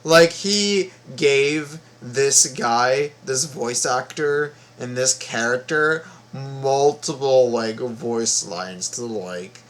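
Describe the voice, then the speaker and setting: Slow, halting man reacting casually. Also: medium pitch. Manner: halting, repeats words and phrases. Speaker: reaction video host. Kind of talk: casual off-the-cuff reaction commentary.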